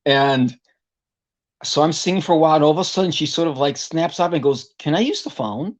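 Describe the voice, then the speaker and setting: A man's voice: a little high, lively intonation, brisk. A middle-aged man from Puerto Rico with a tenor voice, a guest vocalist telling stories animatedly in a casual interview and acting out other people's voices.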